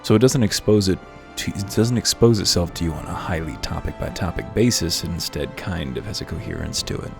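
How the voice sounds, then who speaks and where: A man speaks in a very deep, gravelly voice with music playing behind him. He hosts a video game playthrough in an articulate, musing way, with a wry edge to his commentary. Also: lively intonation, brisk, hardly any pauses. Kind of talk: casual game commentary with read-aloud lore.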